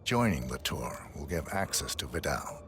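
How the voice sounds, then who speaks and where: A very low-voiced cold assassin in a video game. He speaks in clipped, measured phrases with a deadpan delivery and gives little away. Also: over music. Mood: cool, controlled. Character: terse, cold male professional assassin posing as a fixer. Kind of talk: video game cutscene acting.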